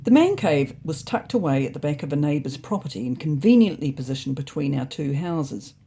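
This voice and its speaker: Expressive storyteller with a lively, swooping delivery. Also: mid-range voice, a little fast, vocal fry, breathy edge. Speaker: narrator. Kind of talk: scripted first-person story narration for an animal tale.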